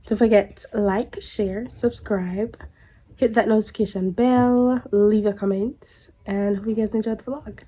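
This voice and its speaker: A woman's voice: medium pitch, steady tone, unhurried, warm tone, slight background noise. A young woman vlogger, congested from a cold, chatting casually to camera with many restarts. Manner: chatty, stops and restarts, rambling. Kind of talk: casual vlog chat to camera.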